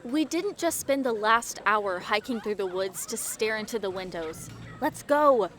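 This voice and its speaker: A woman's voice: mid-range voice, animated, measured pace, fairly bright tone, soft background music. A young woman playing a sarcastic, feisty adventurer in an audio drama, with a teasing way of talking.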